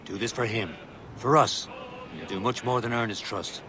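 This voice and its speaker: A man playing a steady, loyal assassin mentor in a video game cutscene, firm and measured. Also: lowish voice, lightly acted. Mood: firm, urging. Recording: outdoor ship ambience, clearly noisy.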